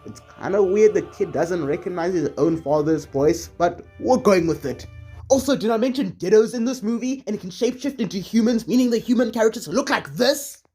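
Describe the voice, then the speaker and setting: A video essayist with a slightly husky voice, delivering scripted movie commentary in a conversational way with casually wry asides. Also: medium pitch, fairly quick, dark tone, faint music behind.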